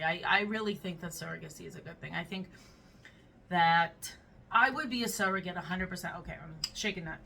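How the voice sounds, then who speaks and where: Gravelly bright-voiced woman reacting casually. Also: on the low side, recorded from a distance, slight background noise. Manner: chatty, reads comments aloud and reacts. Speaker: reaction video host. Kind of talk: casual reaction to read-out posts.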